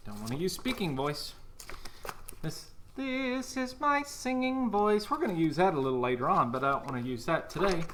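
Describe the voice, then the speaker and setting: A male music teacher with a bright, bouncy voice that swoops up and down as he coaxes children to join in. He sounds upbeat and encouraging, with light background noise. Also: a little high. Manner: upbeat, encouraging, talks to kids at home.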